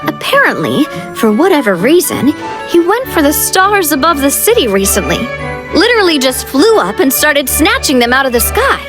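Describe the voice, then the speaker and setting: A woman's voice: high-pitched, very animated, normal speed. A woman playing a confident, upbeat young female leader in a video game, breezy and self-assured in her delivery. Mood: informative. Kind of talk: animated game dialogue. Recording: music playing behind the voice. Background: game music.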